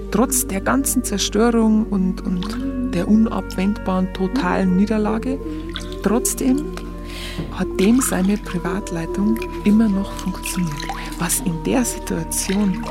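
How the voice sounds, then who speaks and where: An actress in a radio drama playing a candid, naive young photographer with a Bavarian accent, recounting her memories in halting colloquial speech. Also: medium pitch, natural voice. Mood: candid, wondering. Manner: halting, confiding. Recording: music behind the voice.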